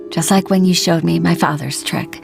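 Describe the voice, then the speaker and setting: A woman's voice: on the low side, creaky, heavily acted. A woman voicing a reflective middle-aged female secretary who is a mother, in a video game, speaking fondly. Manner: reflective, fond.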